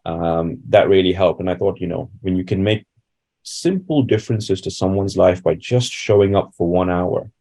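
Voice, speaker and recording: A man's voice: deep voice, steady tone, moderate pace, gravelly, warm tone. A man from India giving reflective, conversational answers as a doctor being interviewed. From Bangalore, India.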